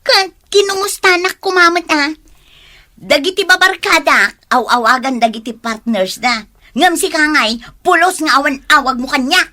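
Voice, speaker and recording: A wounded, nagging fiancée in an Ilocano radio drama. The voice is very high and sharp, with an Ilocano Filipino accent and a confrontational manner. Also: female voice, lively intonation, moderate pace. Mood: angry, accusing.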